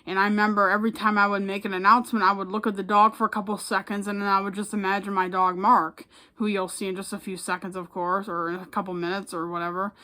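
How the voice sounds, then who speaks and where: An American woman talks casually to the camera, as a vlogger would. Her delivery is informal and rambling, with a modest, self-effacing way of putting things. Her pitch sits in the middle for a woman. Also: sharp tone. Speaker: public address announcer and vlogger.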